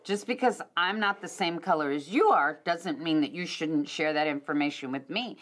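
A middle-aged woman hosting a podcast, telling a story in an animated, casual way and addressing listeners directly. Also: deep voice, normal speed. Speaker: podcast host.

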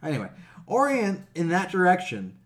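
A man talks casually as a podcast co-host, his pitch rising and dropping freely. He sounds sarcastic and incredulous, swears readily and laughs easily. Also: huge pitch swings, normal speed. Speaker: video game podcast co-host. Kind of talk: casual chat with profanity.

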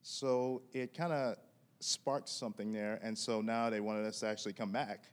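A man's voice: lowish voice, steady tone, moderate pace, smooth. A man telling a story in a loose, casual way, with many fillers and "you know"s. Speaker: guest telling a story in church.

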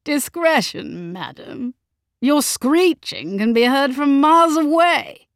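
Storybook lord and husband played by a woman: cold, cutting, impatient, unhurried drawl. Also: mid-range voice, lively intonation, vocal fry, a bit bright, no echo, studio quality, full character voice. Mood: cold disdain. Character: coldly bored, cutting aristocratic husband and father. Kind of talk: audiobook character acting.

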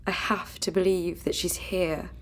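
Grieving granddaughter in a radio play, slow and lowish, earnest, holding on to belief. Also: female voice, flat delivery. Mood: grieving, pleading. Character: grieving adult granddaughter, tender, firm, clinging to belief. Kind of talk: naturalistic radio drama dialogue.

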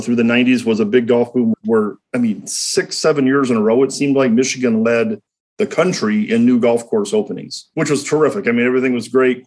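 A man who runs a regional golf association, a guest in a radio interview, speaking in a chatty, easygoing way with a slightly raspy, raw voice from a cold. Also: medium pitch, a little mellow, low-quality recording. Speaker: golf association executive director.

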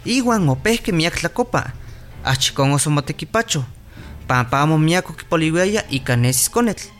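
An actor playing a calm clinic doctor in a radio drama, explaining and reassuring. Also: medium pitch, normal speed, lightly acted. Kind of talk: radio drama narration and acting. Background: music.

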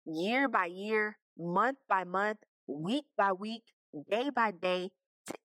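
A woman's voice: mid-range voice, slow, a bit bright, dry recording, studio quality. A woman giving a casual Bible teaching, talking conversationally and checking in with her listeners. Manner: conversational, keeps checking in with "okay?" and "right?".

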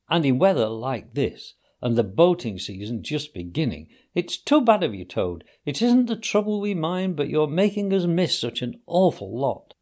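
A man voicing a brisk, hearty male water rat who talks bluntly to his friend. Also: on the high side, darkish tone, voice pushed up. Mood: chiding. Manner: hearty, bluff.

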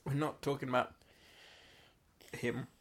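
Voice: medium pitch, little pitch movement, a little slow. A person playing the straight role in comic banter, teasing and often puzzled.

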